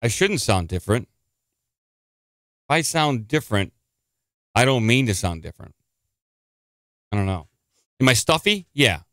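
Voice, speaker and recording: A man's voice: mid-range voice, sing-song, fairly bright tone, dry recording, clean studio sound. A man hosting a live stream in a chatty, rambling way, a little congested and nasal. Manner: chatty, rambling, quick to gripe. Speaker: podcast host. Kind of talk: casual live-stream talk.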